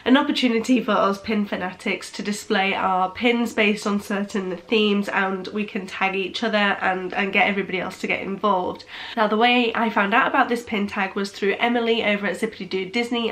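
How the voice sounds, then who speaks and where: A woman's voice: medium pitch, a bit bright. A young woman vlogging in a casual chatty way, sounding nasal from a cold and often restarting her sentences. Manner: chatty, hesitant with frequent restarts. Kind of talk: casual chat.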